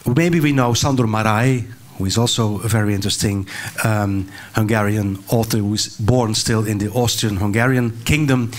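A man's voice: lowish voice, steady tone, moderate pace, few pauses. An older man introducing and interviewing an author on stage, earnest, with restarts and fillers, into a handheld mic. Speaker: moderator. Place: stage of a large hall.

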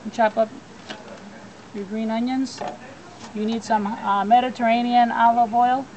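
A woman's voice: on the high side, steady tone, a little slow, darkish tone, low-quality recording. A woman giving plain step-by-step cooking instructions in a backyard. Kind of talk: cooking demonstration. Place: backyard outdoors. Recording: outdoor background noise.

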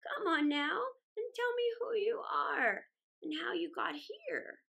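A woman puts on a very old storybook witch, kindly and coaxing. Her high voice swings widely and has some creak, and she draws out her words dotingly. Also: animated, slow, pushed and bright, exaggerated voice. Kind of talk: children's storybook character voice.

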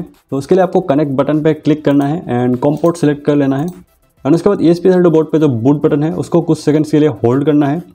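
A man hosting a screen-recorded tech tutorial, explaining each step calmly and mixing in English technical terms. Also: a little high.

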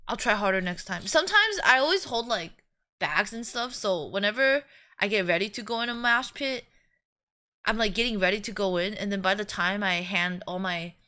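A young woman streamer telling stories casually, chatty and a little crude, jumping between excited squeals and flat deadpan jokes. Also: mid-range voice. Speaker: VTuber streamer. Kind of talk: casual storytelling vlog.

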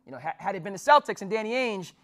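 A man's voice: sits high, animated, racing, a bit bright. Formal, filler-heavy male sports host. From New Jersey. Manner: formal wording with many fillers and restarts. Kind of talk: studio sports talk. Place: small studio with dark backdrop.

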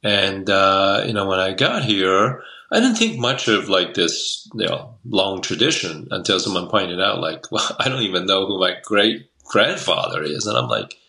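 A man in his sixties from Taiwan speaks over a video call from a room at home. His voice sits low yet sounds bright and forceful. He rambles easily, circling back with fillers and restarts. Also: very animated, moderate pace, creaky, few pauses. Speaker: guest, Chinese medicine doctor. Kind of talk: casual interview talk. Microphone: video call webcam.

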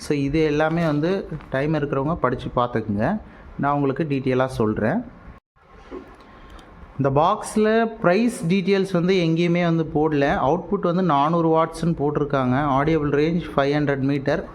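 A man with a Tamil Nadu accent and a somewhat high voice talks through a product review. His delivery is casual and explanatory, sprinkled with English words. Some background noise sits under the recording. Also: a little fast. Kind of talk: casual unboxing vlog. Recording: noticeable steady background noise.

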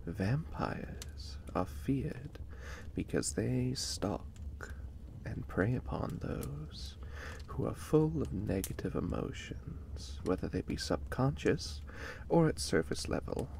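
Man playing a slow, creaky-voiced vampire catboy. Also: fairly low pitch, darkish tone, slight background noise, full character voice. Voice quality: breathy, often whispered, creaky. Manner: slow, deliberate, formal, reassuring. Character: suave, gently possessive male vampire catboy predator. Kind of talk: ASMR roleplay, slow soothing monologue.

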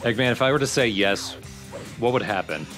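A man's voice: mid-range voice, brisk, music in the background. A man giving casual let's play commentary, explaining and joking along.

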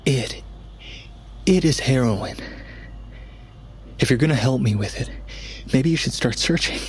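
A gruff young American man, played by an actor in a naturalistic audio drama. He is sardonic and protective, a troubled sort with a mid-pitched voice. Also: lively intonation, measured pace, a little hiss or hum, lightly acted. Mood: weary, defensive. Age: young adult.

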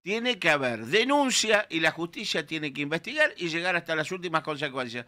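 A bright-toned man in his sixties speaks with a Rioplatense Argentine accent, slightly high for his age. He argues in an emphatic, indignant way, pressing his points on a radio panel. His voice comes close on a studio microphone. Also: expressive, fairly quick. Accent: Rioplatense Spanish. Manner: emphatic, indignant, gestures while talking. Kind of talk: opinionated radio talk. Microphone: studio mic with headphones.

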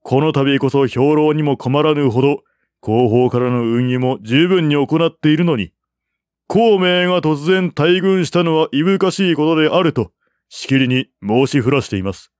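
A man's voice: a little high, normal speed, a little mellow, dry recording, studio quality, pitched above the performer's own voice, natural voice. A man voicing a forthright imperial envoy in an audiobook, questioning formally with pressing emphasis. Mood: puzzled, pressing.

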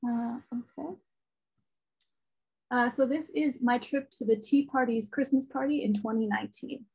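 Quiet husky woman with gravelly fry. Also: fairly high pitch, fairly even intonation, unhurried, low-quality recording. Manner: casual, with many ums and uhs. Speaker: ethnographer presenting research. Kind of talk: academic conference talk. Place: home room. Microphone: laptop webcam on video call.

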